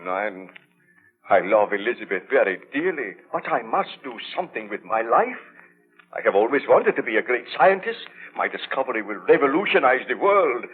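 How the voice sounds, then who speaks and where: A man's voice: mid-range voice, very animated, normal speed, natural voice. An actor playing a proud, feverishly ambitious young male scientist in a theatrical, declamatory 1930s radio drama style. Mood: earnest, pleading. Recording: tinny, noisy 1930s radio recording.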